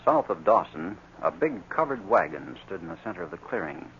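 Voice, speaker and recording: A man's voice: low-pitched, big pitch swings, brisk. A man narrating a scripted adventure story in dramatic 1940s radio style. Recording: dull, noisy 1940s radio recording.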